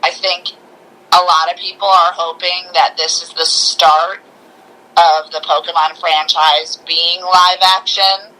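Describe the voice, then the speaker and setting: A woman with a very bright, forward voice talks casually as a review co-host. She sounds informal and enthusiastic, feeling her way through her thoughts in a halting, conversational way. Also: fairly low pitch, little pitch movement, poor audio. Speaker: podcast co-host reviewing films. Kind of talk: casual chat.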